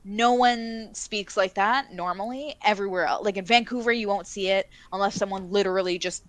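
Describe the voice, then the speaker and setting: A woman's voice: mid-range voice, a little fast, a bit bright, dry recording. Chatty Canadian woman in her thirties. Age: 30s. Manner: chatty, laughs often, frequent fillers. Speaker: co-host of a missing-persons livestream. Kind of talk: casual live chat.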